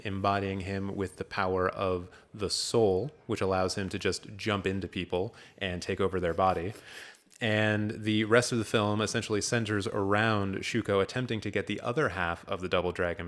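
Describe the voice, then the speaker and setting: A man hosting a podcast, giving a rambling, jokey, off-the-cuff recap in a casual chat style. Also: deep voice. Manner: rambling, jokey, improvised storytelling. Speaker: podcast host.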